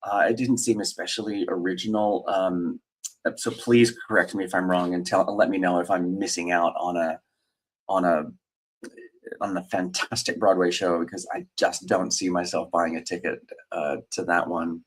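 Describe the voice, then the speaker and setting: A middle-aged man chats loosely on a livestream, his voice sitting a little low. He rambles through viewer comments with lots of ums, uhs and restarts. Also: level tone, moderate pace, breathy edge, low-quality recording. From Australia. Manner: rambling, hesitant, many fillers and restarts. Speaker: host. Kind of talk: casual livestream chat, reading viewer comments.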